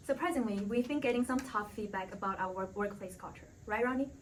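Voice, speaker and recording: A woman's voice: mid-range voice, fairly quick, slight background noise. A woman presenting a scripted argument as a panelist in a business role play.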